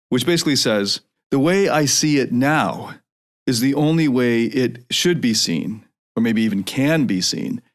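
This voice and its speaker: A man in his forties hosting a solo podcast, talking in an earnest, lecturing way with frequent shifts of pace. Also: mid-range voice. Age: 40s. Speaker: podcast host.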